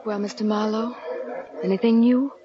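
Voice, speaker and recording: A woman playing a wistful, heartbroken wife, breathy and emotional, in melodramatic 1940s radio style. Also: medium pitch, fairly even intonation, normal speed, lightly acted. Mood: anxious hope. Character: wistful, heartbroken married woman clinging to a lost love. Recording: muffled old 1940s radio recording.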